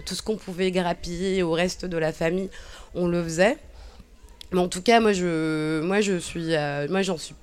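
A woman's voice: lowish voice, smooth. A woman in her thirties, born in Cabinda, speaking reflectively and hesitantly as an interview guest, with many fillers and restarts. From Cabinda, Angola. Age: 30s. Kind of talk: personal testimony in conversation.

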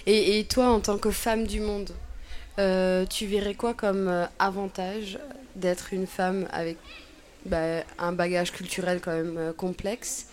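A woman interviewer asking gentle, probing questions in a conversation. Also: mid-range voice, little pitch movement, slow pace.

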